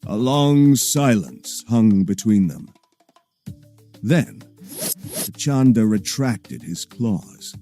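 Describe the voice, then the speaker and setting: Animated, dark-toned male story narrator. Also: on the low side, very slow, pauses often, soft background music. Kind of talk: dramatic scripted narration.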